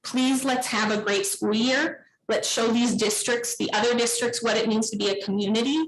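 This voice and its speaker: A woman giving an earnest, pleading public comment speech at a meeting, with deliberate phrasing. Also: on the high side, fairly even intonation, a little hiss or hum. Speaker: parent speaking at public comment. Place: indoor public board meeting room. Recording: echoey meeting room.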